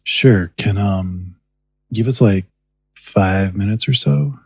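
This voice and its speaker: A man playing an easygoing, slightly sheepish young stage magician with a husky voice in an audio drama. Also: on the low side, slow, no room sound, heavily acted.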